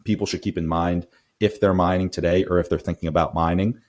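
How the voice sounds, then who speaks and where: Deep-voiced male interview host. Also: steady tone, quick pace. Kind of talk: conversational interviewing.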